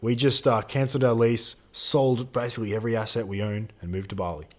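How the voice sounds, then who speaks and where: A man's voice: medium pitch, steady tone, quick pace. A man in his twenties co-hosting a live Q&A stream, chatting casually and reading out viewer questions. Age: 20s. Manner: casual slangy, swears, reads out chat questions.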